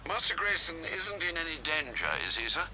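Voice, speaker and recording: An actor voicing a formal, deferential, worried butler in a video game. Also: male voice, a little high, big pitch swings, fairly quick, bright, forceful tone, a little hiss or hum, heavily acted, low-quality recording. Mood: anxious. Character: formal, worried male butler, devoted to his master. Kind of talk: video game cutscene acting.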